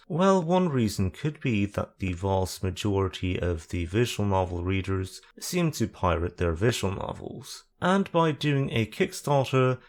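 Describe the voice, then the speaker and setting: A man hosting a video essay, talking through the topic with dry, sarcastic asides. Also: lowish voice.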